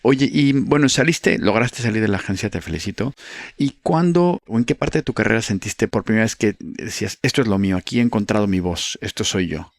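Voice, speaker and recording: A man's voice: on the low side, expressive, no echo. A man hosting a podcast, asking questions in a friendly, playful way. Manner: friendly, playful asides and quick acknowledgements. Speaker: podcast host. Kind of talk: casual interview questions.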